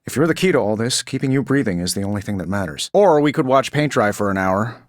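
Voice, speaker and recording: An actor in a video game playing a cocky, quick-witted billionaire inventor superhero with a sardonic, glib, conversational delivery. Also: male voice, fairly low pitch, lively intonation, dry recording, clean studio sound, close to an everyday voice. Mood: glib, self-assured.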